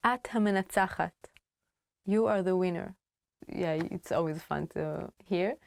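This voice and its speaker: A woman's voice: fairly low pitch, normal speed, creaky, no echo. Playful woman teaching in a dry, close recording. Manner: playful and upbeat, with frequent laughs. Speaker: language teacher. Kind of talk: video language lesson.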